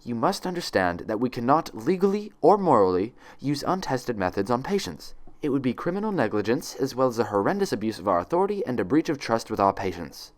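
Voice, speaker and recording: A man plays a female pony nurse from a storybook. The voice is pitched up and swings widely, syrupy and condescending, with icy authority under the cheer. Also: sing-song, brisk, hardly any pauses, natural voice. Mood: stern.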